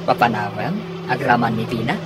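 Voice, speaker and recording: An actor playing a loyal, supportive buddy in a radio drama, with an Ilocano accent. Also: male voice, medium pitch, normal speed, noisy background, close to an everyday voice. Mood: questioning. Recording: loud music and car sound behind.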